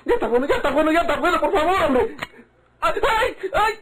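A bedridden Mexican rogue in an old radio play. His very high voice swings wildly as he stammers and repeats his pleas, with other voices murmuring in the background. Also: big pitch swings, a little fast, a loud crowd. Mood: terrified, whimpering. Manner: pleading, stammering, repetitive. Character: wounded bedridden Mexican rogue, cowardly, begging for mercy.

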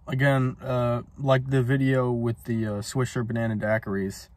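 A man's voice: medium pitch, flat delivery, moderate pace, darkish tone, no room sound. A man in his twenties casually reviewing a cigar while smoking it in a parked car, rambling with lots of ums, recorded on a phone camera. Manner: laid-back, rambling, many ums and uhs. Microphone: phone camera on dashboard.

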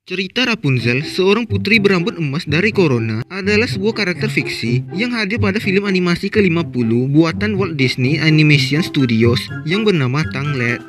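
A man's voice: on the high side, expressive, quick pace, hardly any pauses, over music. A man with an Indonesian accent reading scripted narration for a video.

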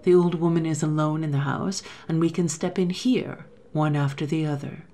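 A woman's voice: deep voice, steady tone, normal speed, a little hiss or hum. A woman playing a coolly sneering male robber. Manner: soft, sneering, coolly contemptuous. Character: cold, sneering male robber plotting murder.

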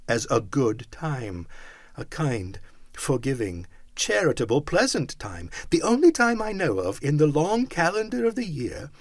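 A cheerful young man pleads earnestly, as a male reader gives him voice. His pitch rises and falls with feeling, recorded close and dry. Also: dry recording.

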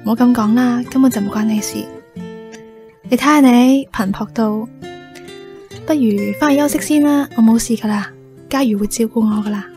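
An actress playing a selfless young woman hiding her illness in a radio drama, soft and evasive, with a slightly husky voice. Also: a little high, moderate pace, pauses often, close to an everyday voice. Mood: apologetic, reassuring. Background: music.

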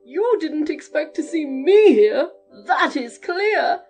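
A woman voicing a loud, bossy giantess who is lonely and overworked, in a storybook reading. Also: high-pitched, expressive, slow, faint music behind, voice pushed up, heavily acted. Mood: domineering. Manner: loud, domineering.

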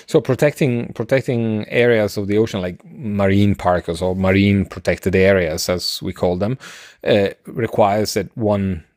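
A middle-aged man co-hosting a science podcast, explaining casually and haltingly, often circling back to rephrase. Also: lowish voice, darkish tone, dry recording, studio quality. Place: indoor room on a video call. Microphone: close desk microphone, headset.

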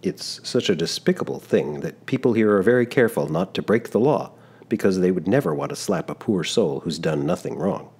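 A man's voice: low-pitched, big pitch swings, fairly quick, slightly rough, full character voice. A man doing an overly polite smiling constable. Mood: courteous. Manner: polite, gently insistent. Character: smiling, exceedingly courteous male town constable.

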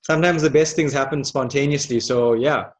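A South African man giving an online business presentation in a measured, deliberate way. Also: mid-range voice, fairly even intonation, breathy edge, dry recording. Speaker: guest presenter. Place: indoor room, on a video call.

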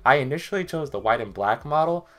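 A man's voice: medium pitch, expressive, quick pace. A young man talking casually to his webcam in a small bedroom, a little sick with a cough, rambling with many fillers as he walks through computer parts. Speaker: tech vlogger. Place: small bedroom with hardware boxes. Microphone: webcam at desk.

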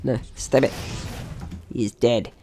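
A man's voice: medium pitch, fairly even intonation, slow, warm tone, faint music behind. A man giving casual gameplay commentary, chatting through his own actions in short remarks. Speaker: gaming video host.

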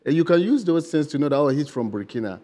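A man's voice: on the high side, animated, fast talker, dark tone. A man in his forties with a Ghanaian accent, a political hopeful answering interview questions earnestly, with many fillers and restarts, in a TV studio on a lapel mic. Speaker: political candidate guest. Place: bright TV studio.

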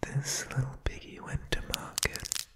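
A man's voice: a little slow, pushed and bright. A man playing a sadistic, doting demonic father in an ASMR horror roleplay, whispering with sinister mock tenderness. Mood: softly cruel.

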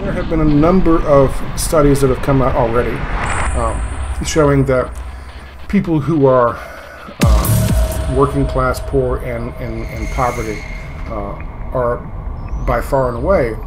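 A man explaining his point in conversation, in halting phrases broken by drawn-out fillers. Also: mid-range voice. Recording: clearly noisy background.

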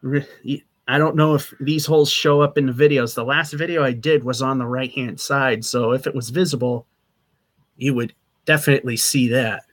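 A man in his thirties chats casually to his webcam, wandering between points with fillers and restarts. His voice sits at an ordinary middle pitch. He records in a small attic room with the mic at desk distance. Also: steady tone, normal speed, a bit bright. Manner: rambling, chatty, with fillers and restarts. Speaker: channel host. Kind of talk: casual vlog update. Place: small attic room with sloped wood-paneled ceiling.

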